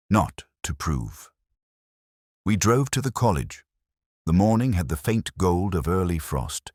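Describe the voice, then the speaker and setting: A world-weary, erudite detective inspector played by a man narrates a mystery drama in a very deep voice. The delivery is brooding and measured, with literary phrasing and plenty of melodic rise and fall. The sound is dry and close, with no room around it. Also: a little mellow, no echo, exaggerated voice. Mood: wry, somber. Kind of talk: scripted first-person mystery narration.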